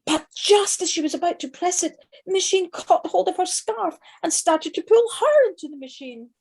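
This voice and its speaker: A woman's voice: very high-pitched, lively intonation, moderate pace, darkish tone. A woman narrating a children's animal tale in an audio play, as a scripted storyteller.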